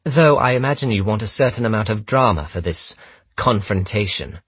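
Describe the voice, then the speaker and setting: Guy doing a defiant sarcastic young woman for an audiobook, taunting, lifted husky voice. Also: fairly low pitch, animated, normal speed, fairly bright tone, no room sound, pitched above the performer's own voice. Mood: level taunting. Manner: level, sardonic, taunting. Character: cool, defiant, razor-tongued young woman. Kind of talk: audiobook character dialogue.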